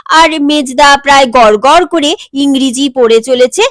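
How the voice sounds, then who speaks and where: A woman's voice: sits high, unhurried, no echo, clean studio sound. A woman reading a scripted comic family story as its narrator.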